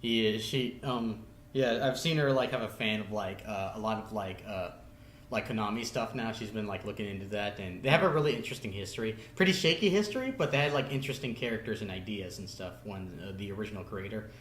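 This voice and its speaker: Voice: mid-range voice, a little fast, fairly bright tone. A podcast guest chatting casually and enthusiastically about games in a rambling, filler-heavy way. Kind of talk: casual chat. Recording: echoey room, faint background noise.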